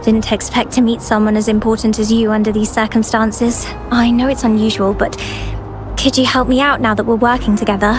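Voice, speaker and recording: A woman's voice: a little high, flat delivery, a little fast, over music, natural voice. A woman voicing a polite, conscientious young field researcher in a video game, earnest and slightly hesitant. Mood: surprised, apologetic. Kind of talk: video game character dialogue.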